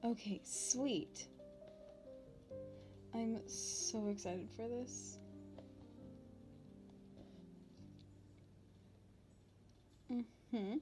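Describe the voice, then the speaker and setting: A woman voicing a shy young demon princess in an ASMR roleplay, talking informally and haltingly to the listener. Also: fairly high pitch, steady tone. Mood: chatty, excited. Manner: hesitant, halting, informal with long pauses. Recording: background music.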